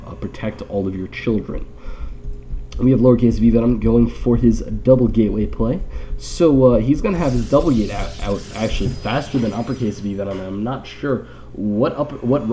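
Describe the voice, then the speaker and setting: A man's voice: fairly low pitch, warm tone, slight background noise. A man with a nasal, congested voice from allergies gives casual live game commentary with wry, rambling, joking asides. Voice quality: nasal, stuffed-up.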